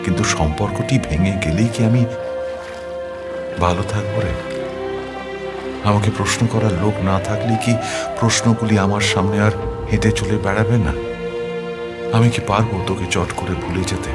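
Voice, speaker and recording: A man's voice: on the low side, moderate pace, pauses often, lightly acted. A man performing an audio story as a restless young man writing a love letter, reflective and questioning, with music behind him. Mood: anxious, wistful. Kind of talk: dramatic audio story narration.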